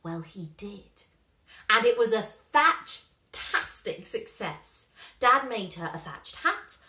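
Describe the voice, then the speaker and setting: A woman reading a children's story aloud with expressive, dramatic emphasis. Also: fairly high pitch, echoey room. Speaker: storybook reader.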